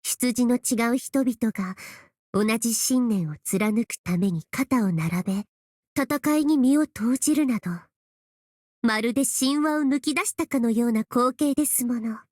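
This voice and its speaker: A woman's voice: fairly high pitch, fairly quick, darkish tone, dry recording, studio quality, close to an everyday voice. A woman voicing a refined, lofty noblewoman in a game, with an elegant, grand and formal manner. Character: refined, lofty noblewoman enamored of epic tales. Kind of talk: Japanese game character voice line.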